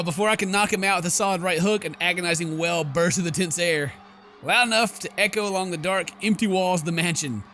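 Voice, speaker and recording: A man streams a video game with a high, bright voice. He reads the story text dramatically and breaks into slangy, excitable asides. Music plays softly behind him. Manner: jokey, theatrical, excitable, slangy exclamations. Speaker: gaming streamer. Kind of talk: let's play commentary with dramatic reading of game text.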